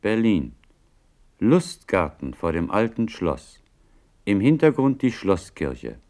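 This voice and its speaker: A man narrating stage directions for a radio play in a formal, deliberate, scripted manner. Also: lowish voice, very animated, darkish tone.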